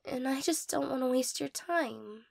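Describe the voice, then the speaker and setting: A young woman playing a shy, insecure, tearful girl in an intimate romantic audio roleplay, hesitant, stammering and apologetic, with a breathy, creaky voice. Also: high-pitched, measured pace, a little mellow, exaggerated voice. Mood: self-doubting, hesitant.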